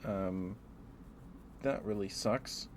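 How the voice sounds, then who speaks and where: A man hosting a casual ASMR livestream, chatting to viewers in a gentle, apologetic, rambling way. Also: lowish voice, drawn-out words. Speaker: livestream host. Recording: clearly noisy background.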